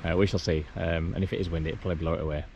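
Rambling man vlogging outdoors, lowish voice, dull camera sound with wind and water. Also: little pitch movement, rapid-fire, gravelly, a little mellow. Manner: chatty, rambling, frequent "you know". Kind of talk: casual outdoor vlog chat. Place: outdoors, kayak at sea then beach. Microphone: action camera.

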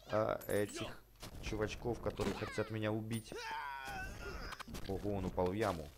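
Low-voiced excitable man playing a game. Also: background noise. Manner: excitable, chatty, full of exclamations and repeated words; uses many affectionate diminutives. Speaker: gaming YouTuber host. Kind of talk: casual let's play commentary for children.

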